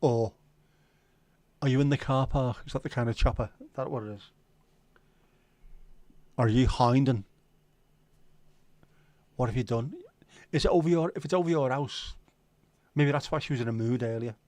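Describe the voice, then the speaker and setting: A middle-aged Scouse man hosts a relaxed livestream, bantering with viewers and swearing casually as he tells stories. His voice sits at an ordinary middle pitch, and the sound is close and dry with no room around it. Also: normal speed, pauses often, no room sound. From Liverpool, England. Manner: banter-filled, sweary, jokey. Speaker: livestream host. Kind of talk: casual livestream chat reading viewer comments.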